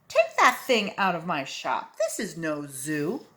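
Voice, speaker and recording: Indignant male barber, played by a woman, loud, snappy, bossy, swooping pitch, children's storybook. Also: huge pitch swings, takes it slowly, full character voice.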